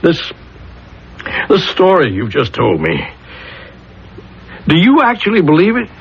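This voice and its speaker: An actor playing a troubled, defensive married man, halting and emotional, in a 1970s radio drama. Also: a little high, wildly swooping pitch, creaky, breathy edge, heavily acted. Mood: anxious, pleading. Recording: dull lo-fi 1970s radio recording.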